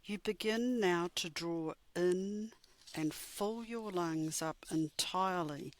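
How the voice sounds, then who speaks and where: Slow, low-voiced woman guiding meditation. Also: no room sound. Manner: soothing, measured, instructive. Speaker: guided meditation teacher. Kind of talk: slow scripted guided meditation.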